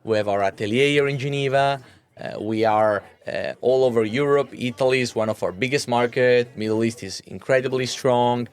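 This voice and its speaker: Guy around 30 being interviewed, mid pitch, stumbles and repeats words a lot. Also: clear, resonant tone. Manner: halting, often repeats words. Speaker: watch brand executive being interviewed. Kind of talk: interview answers.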